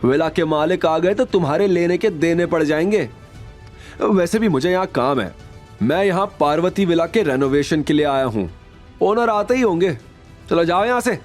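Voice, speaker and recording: Man acting a high-pitched, sneering young male bully. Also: animated, moderate pace, soft background music, lightly acted. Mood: indignant, dismissive. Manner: jeering, taunting, indignant. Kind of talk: Hindi audio drama acting.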